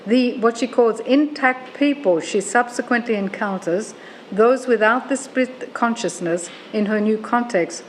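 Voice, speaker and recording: A woman who grew up in Australia with German as her first language, giving an academic lecture. She reads at a measured pace, corrects herself, and turns to the audience with questions. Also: fairly high pitch, a little hiss or hum, rough recording. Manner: measured reading with asides to the audience and self-corrections. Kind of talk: academic lecture, reading a paper aloud. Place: lecture room.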